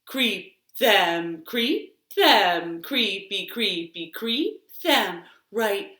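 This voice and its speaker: A woman's voice: mid-range voice, lively intonation, extremely slow, bright, forceful tone. A woman hosting a children's storytime in a sing-song style, drawing out repeated words and giving simple, encouraging instructions, recorded in a living room. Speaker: children's storytime host, librarian. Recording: roomy, echoing home living room.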